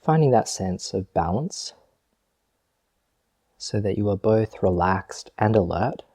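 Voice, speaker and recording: A man with a deep, dark-toned voice guides a meditation. He speaks very slowly and gently, leaving long pauses between short phrases. His delivery is measured, like a teacher leading a practice. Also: lively intonation, no echo.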